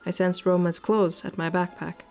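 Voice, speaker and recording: Low-pitched woman narrating a game. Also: little pitch movement, moderate pace, a little mellow. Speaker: gaming video host. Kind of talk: visual novel playthrough, narration with casual commentary. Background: game music.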